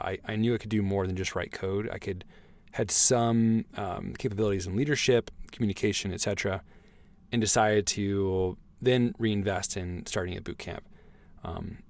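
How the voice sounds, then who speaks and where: Hoarse man hosting a motivational podcast. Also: on the low side, no room sound. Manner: earnest, motivational, with frequent "right?" tags. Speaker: podcast host. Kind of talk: solo podcast monologue.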